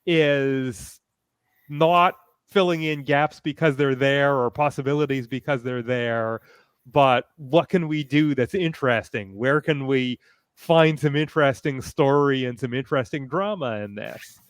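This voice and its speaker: A man speaking as a panelist in casual discussion, halting as he thinks aloud. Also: medium pitch, clear, resonant tone, no room sound, studio quality.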